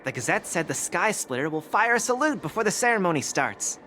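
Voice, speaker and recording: Casting: a game townsman with news, high and lively, faint ambient noise. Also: brisk, full character voice. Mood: eager. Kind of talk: acted game side-character chatter.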